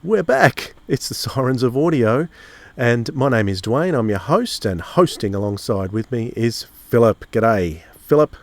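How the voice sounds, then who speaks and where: A man's voice: mid-range voice, expressive, measured pace, no echo. A man with an Australian accent presenting a podcast in a conversational introductory style. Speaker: podcast host.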